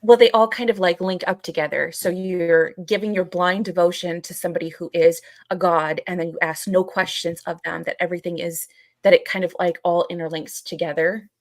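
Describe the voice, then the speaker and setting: Low, even-toned female podcast co-host. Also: brisk, dry recording. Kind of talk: casual explanatory discussion going through a list.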